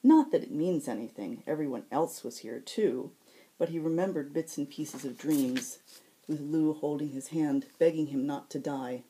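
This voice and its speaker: A woman, an author reading her fiction aloud in an expressive narrating style. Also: deep voice, normal speed, slight background noise, poor audio.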